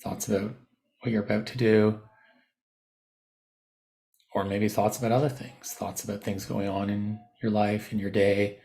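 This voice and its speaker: A man leading a guided meditation, giving gentle, unscripted instructions with softened, informal phrasing. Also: lowish voice, flat delivery, unhurried, creaky, long pauses, rough recording.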